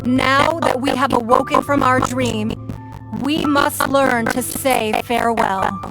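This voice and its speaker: A woman playing a composed, stately ruler in a video game, giving a measured, formal speech to her people. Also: fairly high pitch, huge pitch swings, creaky, full character voice, low-quality recording. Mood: solemn, resolute. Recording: game music behind the voice.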